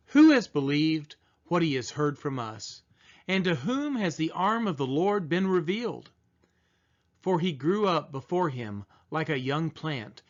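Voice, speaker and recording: A man reading the Bible aloud solemnly, in measured, deliberate phrases with pauses. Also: on the high side. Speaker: scripture reader. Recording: dull, lo-fi sound.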